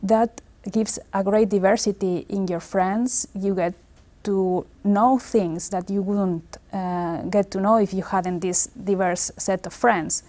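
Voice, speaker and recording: A woman in her forties from Spain, speaking English with a Spanish accent in a seated office interview, earnest and measured with many filler pauses. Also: mid-range voice, steady tone, dark tone. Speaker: space agency engineer. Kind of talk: documentary interview. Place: office with large screen and window.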